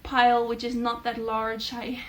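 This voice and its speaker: Chatty Dutch woman with a higher voice. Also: monotone, unhurried. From Netherlands. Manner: chatty, many fillers, thoughtful. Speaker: book channel host. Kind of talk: casual talking-head vlog.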